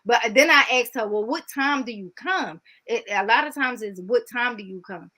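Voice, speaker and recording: A woman's voice: fairly high pitch, moderate pace, bright, forceful tone. A woman answering as an interview guest in an informal, candid way, repeating points for emphasis.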